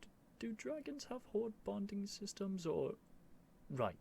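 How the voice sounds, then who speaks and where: A man playing an earnest, nervous young prince devoted to a dragon, in a halting, emotional ASMR roleplay addressed to the listener. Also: high-pitched, very animated, a little mellow, a little hiss or hum, exaggerated voice. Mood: touched, adoring. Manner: halting, stammering, breathy sighs and whispers, emotional.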